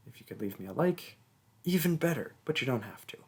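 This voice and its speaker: A man's voice: mid-range voice, expressive, brisk, husky. A man, a voice actor, giving a casual, hesitant thank-you outro with many filler ums. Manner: hesitant, lots of ums, bashful laughs.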